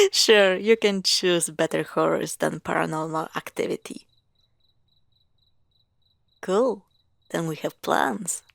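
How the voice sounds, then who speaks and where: A woman playing a playful, sarcastic young girlfriend in a spooky roleplay audio drama, teasing and expressive. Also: on the low side, slow, creaky, long pauses, no room sound, clean studio sound, heavily acted. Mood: playful, affectionate. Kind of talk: spooky roleplay audio drama, acted one-sided dialogue.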